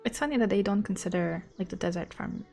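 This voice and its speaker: A woman's voice: medium pitch, fast talker, gravelly, over music. A woman livestreamer giving casual commentary on a game, rambling informally as she thinks aloud. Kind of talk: casual commentary over gameplay.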